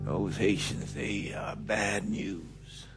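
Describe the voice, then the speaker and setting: An actor playing a mild, reflective priest in a cinematic video game, conversational and a little tentative. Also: male voice, sits low, drawn-out words. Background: guitar music.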